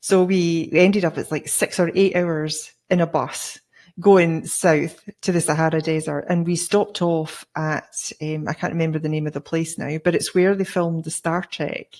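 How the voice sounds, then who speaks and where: A woman's voice: deep voice, flat delivery, moderate pace, a little mellow. A middle-aged Scottish woman in casual conversation, telling travel stories in a chatty way. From Scotland. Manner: chatty, storytelling. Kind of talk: casual chat.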